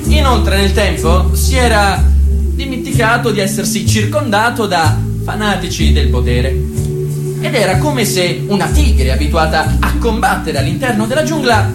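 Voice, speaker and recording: A woman's voice: mid-range voice, sing-song, moderate pace, natural voice. A woman acting as a solemn chronicler of a tyrant's rule in radio theatre, with emphatic, declamatory delivery. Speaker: narrator. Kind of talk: dramatic narration in radio theatre. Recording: echoey room, loud music bed. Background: loud music.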